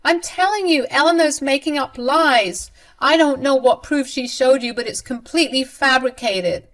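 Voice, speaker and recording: Give me a woman playing a high, whining, scheming mother-in-law who pleads loudly. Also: moderate pace. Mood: indignant. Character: scheming older mother-in-law, whiny and self-pitying.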